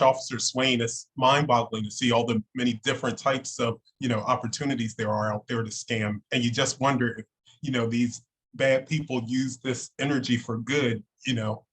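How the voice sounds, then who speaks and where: Husky-voiced man hosting a town hall. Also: mid-range voice, moderate pace, a bit bright. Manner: reads speaker introductions, courteous. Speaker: host and moderator.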